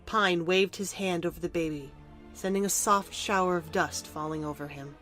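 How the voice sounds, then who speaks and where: Female narrator, lowish register, scripted fairy-tale storytelling for audio drama, light music bed underneath. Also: steady tone, moderate pace, fairly bright tone.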